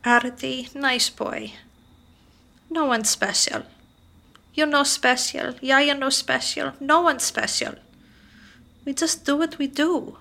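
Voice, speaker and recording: A woman's voice: high-pitched, fairly even intonation, slow pace, gravelly, long pauses, pushed and bright, heavily acted. A woman playing a kindly, blunt old immigrant lady who speaks short broken English phrases in an audio drama. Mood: doting, consoling.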